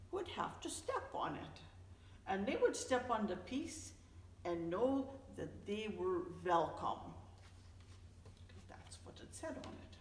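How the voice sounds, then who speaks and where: A woman reads a children's story in a big reverberant space, a little away from the mic. Her delivery is unhurried and expressive, with a very animated rise and fall as she tells it to young listeners. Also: mid-range voice. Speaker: storyteller, host of a story time. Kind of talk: children's picture book read-aloud. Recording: echoey, reverberant room, distant mic.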